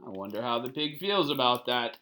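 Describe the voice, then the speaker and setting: A picture book reader giving an expressive children's read-aloud, pausing to explain words simply and ask little check-in questions. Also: mid-range voice.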